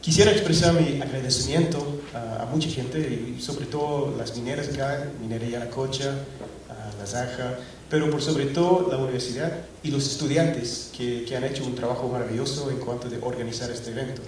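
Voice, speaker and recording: A middle-aged man addresses a crowded auditorium, his mid-pitched voice carrying from a distance across a very echoey hall. He stops and restarts often, leaning on fillers as he works through a technical talk. A faint hum of noise sits under him. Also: steady tone, moderate pace, a little mellow. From Scotland. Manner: hesitant, many fillers and restarts. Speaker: conference speaker. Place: large auditorium. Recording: echoey hall recorded from afar.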